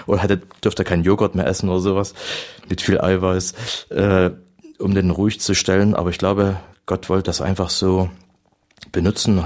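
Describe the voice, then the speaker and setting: A middle-aged man with a Saxon German accent, a priest giving a lively radio talk about his life, full of fillers and restarts. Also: sits low. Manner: lively storytelling with many fillers and self-corrections. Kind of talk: radio talk, personal testimony. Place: radio studio.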